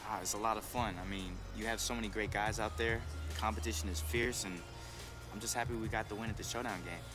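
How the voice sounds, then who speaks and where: A man playing a young up-and-coming streetball player in a video game, humble but ambitious, with a casual, halting way of talking. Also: on the low side, fairly even intonation, over music, natural voice. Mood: easygoing. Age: young adult. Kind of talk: video game cutscene acting.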